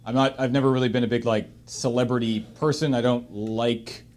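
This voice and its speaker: A man's voice: mid-range voice, fairly even intonation, fairly quick, darkish tone. A man chatting casually as he moderates a group discussion, hedging and correcting himself as he goes. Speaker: discussion moderator on a charity livestream.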